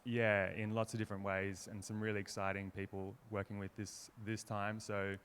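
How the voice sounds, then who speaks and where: A man's voice: fairly low pitch, flat delivery, normal speed, fairly bright tone, a little hiss or hum. A man, explaining his work casually and thoughtfully as an interview guest. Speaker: theatre maker being interviewed.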